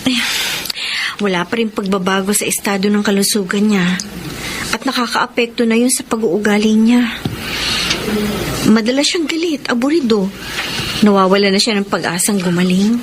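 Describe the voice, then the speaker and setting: An actress playing a devoted wife of a gravely ill man, speaking in an earnest, pleading way thick with emotion, in a radio drama. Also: mid-range voice, moderate pace, pauses often, background noise, close to an everyday voice. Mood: tender. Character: devoted wife of a sick man, tender and tearful. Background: music.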